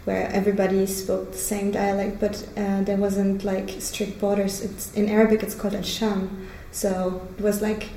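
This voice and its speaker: Flat, mellow woman explaining her background. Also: medium pitch, moderate pace. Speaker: peace activist interviewee. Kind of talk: explanatory personal account in an interview. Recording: echoey room with background noise.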